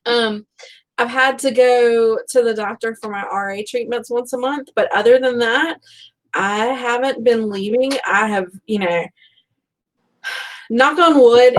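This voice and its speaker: A woman's voice: fairly high pitch, poor audio. A woman hosting a casual book chat, rambling along in a conversational, self-deprecating way. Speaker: host of a book fan live show.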